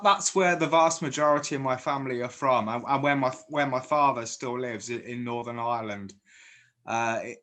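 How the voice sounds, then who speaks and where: A man's voice: medium pitch, fairly bright tone. A man from Guernsey with a mixed Channel Islands and South Yorkshire accent, chatting casually as an interviewer and often restarting his sentences. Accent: British English mixing Channel Islands and South Yorkshire. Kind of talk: casual video chat.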